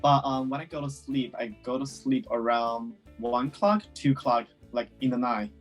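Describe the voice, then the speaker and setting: A man's voice: mid-range voice, normal speed, soft background music. A man in his twenties from China, Chinese his first language, answering interview questions casually with many fillers. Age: 20s. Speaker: guest.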